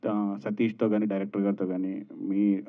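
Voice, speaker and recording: A man's voice: on the low side, little pitch movement, normal speed. A man asking questions as a reporter at a press event. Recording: thin, phone-like event audio.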